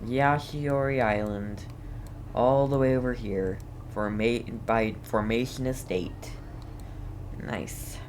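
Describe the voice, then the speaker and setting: Very low-voiced, unhurried woman streamer. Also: darkish tone, a little hiss or hum. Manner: laid-back, brief reactions. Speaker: gaming streamer. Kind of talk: casual playthrough commentary.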